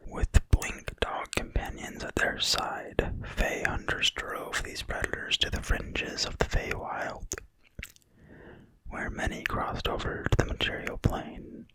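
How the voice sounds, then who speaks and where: A person reading in a quiet, breathy whisper, soft and deliberate, as in an ASMR reading of a fantasy book. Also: rough recording. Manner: slow, soft, unhurried, deliberate. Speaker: ASMR video creator and reader.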